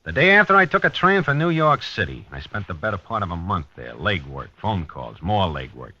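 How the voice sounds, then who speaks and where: An American actor playing a dogged, weary police lieutenant in a 1950s radio drama, with a clipped, hard-boiled delivery. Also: male voice, low voice, sing-song, brisk, sharp tone, breathy edge, heavily acted. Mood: matter-of-fact narrating. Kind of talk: 1950s radio drama acting and first-person narration. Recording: dull vintage 1950s radio recording.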